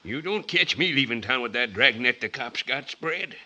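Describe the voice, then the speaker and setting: A man's voice: medium pitch, animated, normal speed, pushed and bright. An actor playing a crusty, wry old flophouse man with a folksy manner in a 1940s radio drama. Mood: wry, grumbling. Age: older. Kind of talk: 1940s radio character acting. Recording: vintage 1940s radio, faint music.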